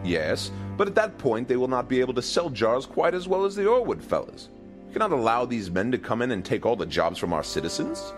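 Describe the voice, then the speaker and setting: A pompous, dim-witted medieval king, played by a man in a comedic audio drama. His pitch leaps about dramatically as he blusters in stiff, formal phrases, stubborn and defensive. Music plays behind him. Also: sing-song, brisk, natural voice. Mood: blustering. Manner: pompous, blustering, formal diction without contractions.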